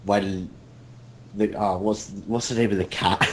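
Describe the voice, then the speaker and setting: A man's voice: fairly even intonation, unhurried. A man in a casual group chat as an interviewed voice actor, talking in a rambling, jokey way over an online call. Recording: rumbly, noisy online call.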